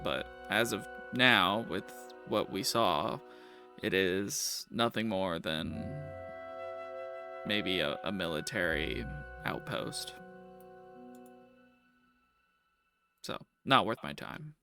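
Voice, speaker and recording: A man's voice: sits low, slow pace, gravelly, sharp tone, soft background music, pitched below the performer's own voice, heavily acted. A man playing a suspicious, hostile prison warden in a tabletop game, speaking in a curt, challenging way. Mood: dismissive.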